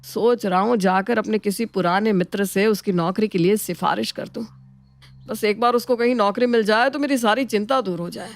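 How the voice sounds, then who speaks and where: A woman voicing an elderly, grateful uncle in an animated moral story, speaking affectionately and repeating words effusively. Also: mid-range voice, moderate pace, close to an everyday voice. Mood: worried. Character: elderly, grateful, easily flattered village uncle.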